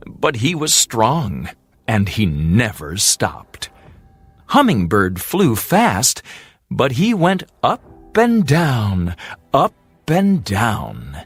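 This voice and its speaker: A storyteller narrating a fairy tale for English learners in a deliberate, expressive way, drawing out repeated words. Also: medium pitch, slightly rough, no room sound.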